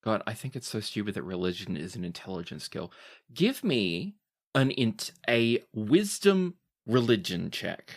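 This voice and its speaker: A man with a low voice works as game master at a tabletop game. He improvises scene narration and calls for rolls in a conversational way. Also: big pitch swings, a little slow.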